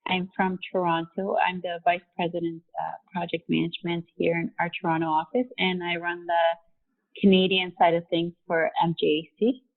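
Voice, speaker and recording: A woman in her forties, raised in Mexico, speaks candidly about her life in a relaxed interview, with easy fillers along the way. Her voice sits a little low. It comes through a narrow, phone-like video-call line. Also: normal speed. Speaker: guest, company vice president.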